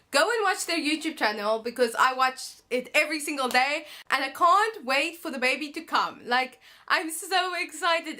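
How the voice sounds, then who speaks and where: Bubbly teen girl, high bright voice. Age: teens. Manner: chatty and bubbly, with frequent ums. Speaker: vlogger. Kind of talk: casual monthly favourites vlog.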